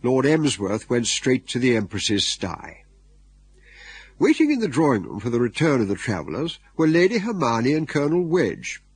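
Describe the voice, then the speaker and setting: Guy narrating a radio play, bit raspy, medium pitch, reading the story. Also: big pitch swings, normal speed, dry recording.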